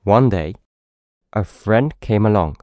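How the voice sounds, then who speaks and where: Expressive British male storybook narrator, lively pitch. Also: slow, no echo, studio quality. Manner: expressive, addressing a young child. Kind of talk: children's story read-aloud.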